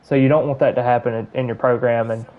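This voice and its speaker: A man's voice: mid-range voice, steady tone, fast talker, phone-quality sound. A man from Alabama with a Southern accent, chatting casually and rambling while he plays a video game on stream. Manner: rambling, filler-heavy, chatting while playing. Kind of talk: casual gameplay commentary.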